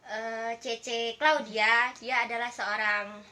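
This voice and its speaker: A woman's voice: fairly high pitch, level tone, takes it slowly, sharp tone. A woman with an Indonesian accent chatting casually as a guest who asks questions. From Lampung, Indonesia. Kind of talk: casual chat.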